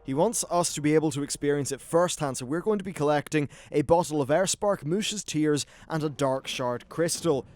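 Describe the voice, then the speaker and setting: Higher-voiced man doing game commentary. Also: moderate pace, hardly any pauses, darkish tone, dry recording. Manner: informal, mildly sweary, reacting as the game unfolds. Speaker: gaming YouTuber. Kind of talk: casual let's-play commentary on an online fantasy game.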